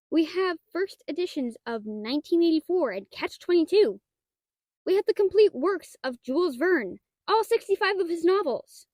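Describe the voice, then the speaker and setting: A woman's voice: high voice, no echo. A woman playing a shy, nerdy half-dragon librarian in a roleplay audio drama, talking in a hesitant, flustered, stammering way. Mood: proud, nerdy. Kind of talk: roleplay audio drama, one-sided dialogue.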